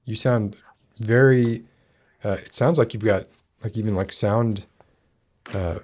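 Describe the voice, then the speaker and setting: Guy hosting a chatty stream interview, kinda low voice, halting delivery. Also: a little mellow. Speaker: livestream podcast host. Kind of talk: casual chat interview.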